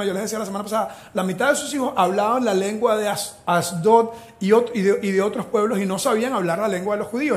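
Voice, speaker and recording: A man from Venezuela preaching a sermon as pastor, animated and joking as he retells the story. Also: sits high, reverberant.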